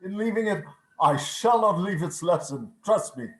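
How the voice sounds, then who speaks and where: A woman's voice: lowish voice, animated, moderate pace, natural voice. A frightened, repentant old miser played by a woman in a radio play. The delivery is dramatic and pleading, in a roomy, echoing video-call recording. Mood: fearful pleading.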